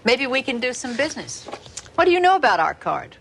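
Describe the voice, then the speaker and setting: An actress playing a poised, coolly firm credit company official with measured, formal speech in a television drama. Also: female voice, high voice, big pitch swings, vocal fry, fairly bright tone, slight background noise, full character voice. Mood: cool, businesslike. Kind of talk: naturalistic television drama acting.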